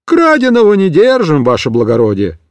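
A man's voice: fairly high pitch, wildly swooping pitch, brisk, no echo. A man voicing a fearful, fawning wagon thief who trembles as he answers.

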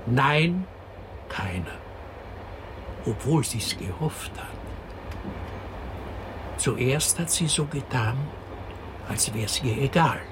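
A man's voice: medium pitch, noisy background. An actor playing a man who tells a child a melancholy fairy tale in a lingering manner, in a radio play.

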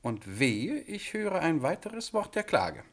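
Radio play casting: imperious petty monarch, higher-than-average male voice, very varied intonation, formal and declamatory. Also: sing-song, takes it slowly, heavily acted. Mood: grudging. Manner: formal, declamatory speech to his ministers. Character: pompous, peremptory male sovereign of a tiny principality. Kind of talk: satirical radio drama acting.

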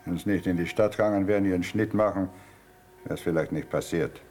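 An actor playing a fearful, submissive peasant farmer, rustic and husky, in a radio drama. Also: male voice, sits low, brisk, a little mellow, faint music behind, natural voice. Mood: sombre. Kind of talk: stage-style radio drama acting.

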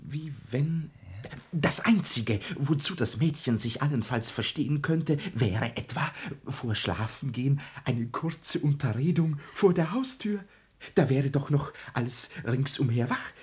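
Husky, excitable foolish poet. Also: male voice, mid-range voice, measured pace. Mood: flustered. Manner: effusive, repeats words eagerly. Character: foolish, gullible male small-town poet, excitable and fawning. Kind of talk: classic stage comedy radio play.

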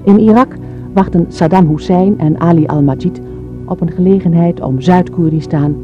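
A man's voice: a little high, moderate pace, lo-fi sound. A man reading scripted, factual narration in the style of a documentary. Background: music.

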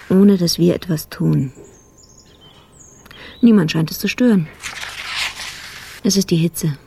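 A weary, obsessive detective muses in a radio drama. He speaks slowly in short statements, with a mellow, creaky voice. Faint noise and birdsong sit behind him. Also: a little high. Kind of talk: radio drama interior monologue.

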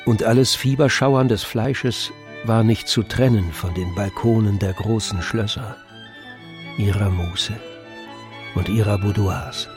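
A man narrating a literary radio play in a measured, composed storytelling manner. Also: fairly low pitch, music in the background.

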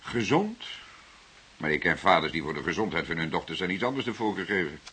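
An actor playing a senior police detective in a Dutch radio drama, deliberate, formal and dryly sardonic, with unhurried authority. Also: male voice, low-pitched, big pitch swings, pushed and bright, exaggerated voice. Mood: dry, firm. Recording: hissy old radio play recording.

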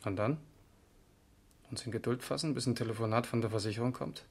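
A methodical East German police investigator in a radio play. He speaks in a medium-pitched voice, controlled and precise, sober and matter-of-fact. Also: a little fast, vocal fry, a little mellow, husky. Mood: firm, controlled. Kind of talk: 1970s German radio crime drama acting.

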